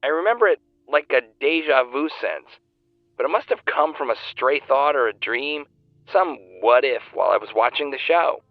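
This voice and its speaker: Over a narrow, tinny phone connection comes an earnest male superfan. He is talkative and his mid-range pitch moves around in a lively way. It is naturalistic audio drama. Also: moderate pace, dry recording. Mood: earnest, reflective. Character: earnest, talkative male superfan who writes fan fiction. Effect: phone call filter. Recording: thin, band-limited phone line.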